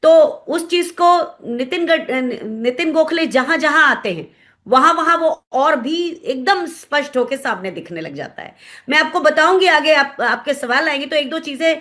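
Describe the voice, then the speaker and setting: A woman with an Indian accent giving analytical commentary in an interview, explaining things and pausing to search for words. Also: high-pitched, lively intonation, a bit bright. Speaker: guest commentator, former pilot.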